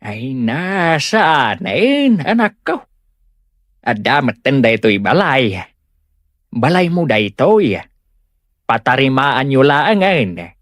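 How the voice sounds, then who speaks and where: A man's voice: mid-range voice, sing-song, slow pace, pauses often, a bit bright, no room sound, clean studio sound. A man playing a firm, protective father in an audio comedy drama. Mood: caring. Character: firm, protective father standing up for his daughter. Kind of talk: Ilocano comedy radio-style drama acting.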